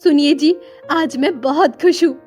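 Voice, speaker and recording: A woman acting a high-voiced, humble poor sister. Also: unhurried, a little mellow, faint music behind. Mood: happy, hopeful. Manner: earnest, pleading, deferential. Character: humble, devoted poor sister in old clothes. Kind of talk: Hindi moral-story cartoon dialogue.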